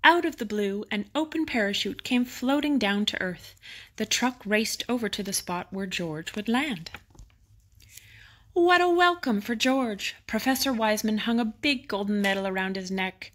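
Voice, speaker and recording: A woman narrating a children's bedtime picture book expressively, with dramatic emphasis on exciting moments. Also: mid-range voice, moderate pace.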